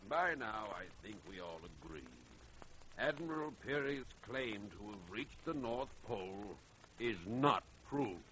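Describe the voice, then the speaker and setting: A man playing a grave, authoritative general in an old radio drama, deliberate and courteous. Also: on the low side, lively intonation. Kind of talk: 1930s-40s radio drama acting. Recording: noisy old radio recording.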